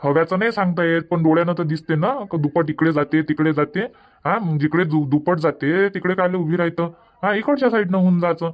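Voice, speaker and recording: Man doing old village husband, Varhadi Marathi accent, grumbling, high-pitched, dull lo-fi recording. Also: fairly even intonation, fairly quick, natural voice. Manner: grumbling, lectures and complains. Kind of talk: dubbed cartoon comedy. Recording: dull lo-fi audio.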